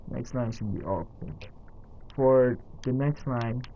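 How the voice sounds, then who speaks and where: A man narrating a casual step-by-step game tutorial in a halting, instructional way, counting out items as he goes. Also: mid-range voice, warm tone, a little hiss or hum, rough recording. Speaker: tutorial host.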